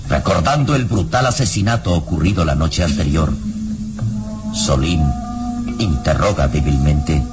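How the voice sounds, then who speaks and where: A man narrating a scripted radio serial in a grave, solemn, deliberate dramatic style. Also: extremely low pitch. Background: dramatic background music.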